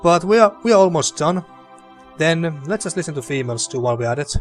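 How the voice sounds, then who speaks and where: A man's voice: on the high side, quick pace, faint music behind. Man with a dry, fading voice. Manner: relaxed, thinking aloud, mildly sweary. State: dry throat, losing voice. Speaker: gaming video host. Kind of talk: casual gameplay commentary.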